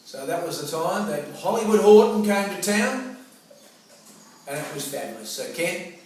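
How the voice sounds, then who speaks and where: A man's voice: high-pitched, big pitch swings, moderate pace, darkish tone. A middle-aged Australian man telling a personal anecdote in a colloquial, theatrical way. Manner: colloquial, theatrical, acts out remembered dialogue. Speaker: storyteller. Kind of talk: animated personal anecdote storytelling. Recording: roomy, echoing, noisy distant microphone.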